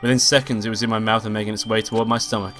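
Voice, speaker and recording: A man's voice: fairly low pitch, flat delivery, rapid-fire, fairly bright tone. Sick, nasal man reading a game aloud. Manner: swearing, offhand asides between read lines. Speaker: let's play host reading a visual novel aloud. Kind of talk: casual let's play commentary and read-aloud. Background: game music.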